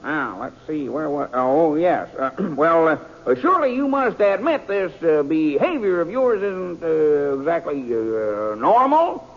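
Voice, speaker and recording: An actor playing a flustered small-town judge who stammers, clears his throat and blusters through a hearing in a 1950s radio drama. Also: fairly high pitch, very animated, measured pace, few pauses, full character voice. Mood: fussy. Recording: muffled old radio recording.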